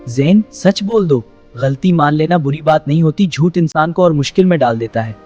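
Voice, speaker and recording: A man voicing a wise talking owl, the solemn guardian of a palace, speaking in a measured, instructive way for a children's cartoon. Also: a little high, expressive, a little mellow, lo-fi sound. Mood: firm, admonishing. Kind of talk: children's moral cartoon story acting. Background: music.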